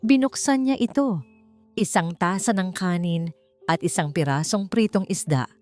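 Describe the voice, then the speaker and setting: A woman's voice: fairly low pitch, normal speed, a little mellow. A woman narrating a scripted audiobook story in a dramatic storytelling style, with music behind her.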